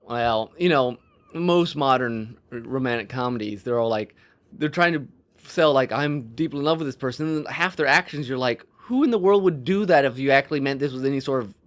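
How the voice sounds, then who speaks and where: A man's voice: medium pitch, lively intonation, brisk. Man thinking aloud in casual discussion. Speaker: podcast co-host.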